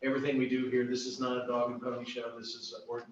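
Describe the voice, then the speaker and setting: A man's voice: medium pitch, completely flat delivery, quick pace, a loud crowd. A man chairing a board meeting, welcoming members with joking remarks and many "uh" fillers. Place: meeting room. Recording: echoey room, distant, muffled mic.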